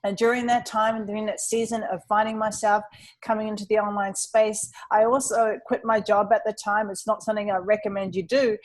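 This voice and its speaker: A woman's voice: medium pitch, little pitch movement, brisk. A woman with a New Zealand accent that has turned partly Australian, in her thirties or forties, telling her personal story with upbeat, earnest energy on a webinar. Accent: New Zealand, leaning Australian. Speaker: online entrepreneur and webinar co-host.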